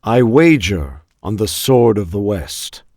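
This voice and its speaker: An actor playing a calm, shrewd noble strategist in a video game, speaking in a measured, wry, self-assured manner. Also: male voice, lowish voice, slight background noise, full character voice.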